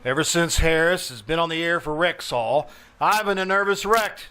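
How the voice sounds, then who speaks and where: Man as a high-pitched, exasperated radio husband. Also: normal speed, pushed and bright, clear, resonant tone. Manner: fretful, complaining. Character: exasperated, fussy, sarcastic businessman husband in radio sitcom. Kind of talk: 1940s radio comedy acting. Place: live small theater stage.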